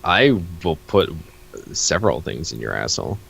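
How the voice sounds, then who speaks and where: A man with a slightly low but sharply bright voice. He talks in a casual, comedic way as co-host of a read-along with a friend. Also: big pitch swings, normal speed, creaky, breathy edge.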